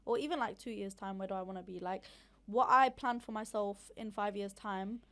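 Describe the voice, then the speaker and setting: Woman with a gravelly, creaky voice. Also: mid-range voice, a little fast, pushed and bright. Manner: laid-back, scattered, reflective. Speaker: podcast co-host. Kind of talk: casual chat.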